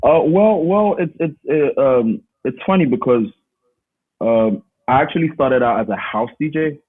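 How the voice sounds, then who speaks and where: Husky ish guy chatting in an interview, leans on his points, tinny phone call sound. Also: mid-range voice, animated, unhurried. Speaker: interview guest, rapper and DJ. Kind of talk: casual conversational interview. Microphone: remote call. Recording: thin phone-like call audio.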